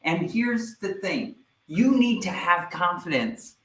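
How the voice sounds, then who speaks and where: A man giving a live online sales training, energetic and speaking directly to viewers, often checking in with "right?". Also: high-pitched, slow, roomy sound, slight background noise, low-quality recording.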